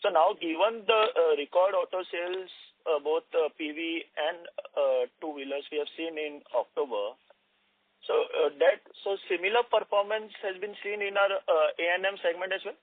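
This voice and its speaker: A man with a fairly high voice and an Indian accent speaks down a thin telephone line. He asks analyst questions hesitantly, often repeating words. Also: normal speed, no echo. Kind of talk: earnings call questioning.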